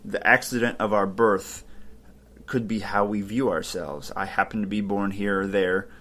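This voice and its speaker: A man's voice: on the low side, fairly bright tone. A man hosting a podcast, talking casually and thinking aloud with fillers and restarts. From Ohio, United States. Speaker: podcast host. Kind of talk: casual podcast commentary on philosophy.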